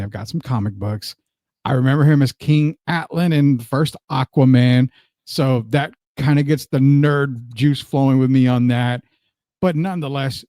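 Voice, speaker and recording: A man's voice: mid-range voice, mellow, dry recording, studio quality. An American man hosting a podcast, chatting through promotional patter and introducing his guest in an upbeat interview style. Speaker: podcast host.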